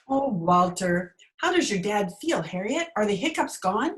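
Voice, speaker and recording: Woman as a wry, animated schoolteacher. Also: medium pitch, a little slow. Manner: dry, sardonic. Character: wry, wisecracking female high school English teacher. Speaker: lead character and narrator. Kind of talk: old-time radio comedy acting. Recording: noisy remote video-call recording.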